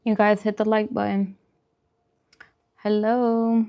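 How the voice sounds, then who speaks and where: A woman's voice: medium pitch, level tone, takes it slowly, gravelly, warm tone. A woman chatting casually on a livestream as host while she eats, informal and self-deprecating in her manner. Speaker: vlogger hosting a live mukbang stream.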